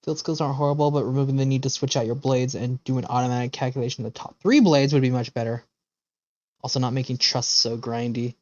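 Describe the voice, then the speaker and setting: A man's voice: medium pitch, steady tone, fast, a little mellow, dry recording, dull audio. A man chatting casually as a game streamer, rambling and opinionated, with plenty of swearing. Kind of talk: casual live stream chat with viewers.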